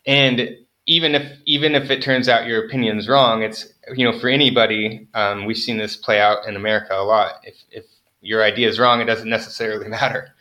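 A man's voice: on the low side, fairly even intonation, brisk, pushed and bright, poor audio. A young man, a farmer guest on an interview, chatting casually with lots of fillers and self-deprecating jokes.

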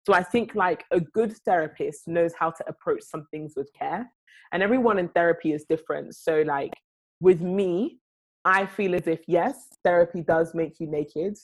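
A woman's voice: on the low side, normal speed, low-quality recording. A young woman in casual chat, talking at length in long runs with lots of fillers. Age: young adult. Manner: animated, talks in long runs with many fillers.

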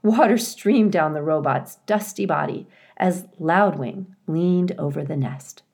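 A woman's voice: deep voice, unhurried, darkish tone. A woman giving scripted narration as the reader of a children's storybook.

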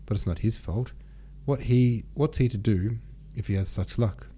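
Man reading as anguished young count, dark mellow voice. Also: lowish voice, moderate pace, a little hiss or hum, lightly acted. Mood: anguished, bewildered. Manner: anguished, questioning inner monologue. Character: distraught young Russian count losing at cards. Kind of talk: audiobook character reading.

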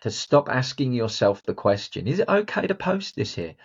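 A man's voice: medium pitch, sing-song, normal speed, breathy edge, highs cut off. A man hosting a motivational solo podcast, talking bluntly and directly to the listener. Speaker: podcast host and confidence coach.

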